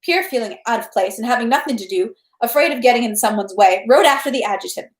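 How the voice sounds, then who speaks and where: A woman reading a novel aloud as narration, in an animated, expressive way. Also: a little high, quick pace. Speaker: read-aloud host. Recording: echoey room.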